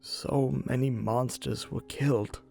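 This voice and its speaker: A man's voice: mid-range voice, fairly even intonation, slow pace, gravelly, a little mellow. A man voicing a troubled young skeleton monster in a comic dub, hesitant, brooding and full of guilt. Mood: haunted. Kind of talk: amateur comic dub voice acting. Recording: music bed under the voice.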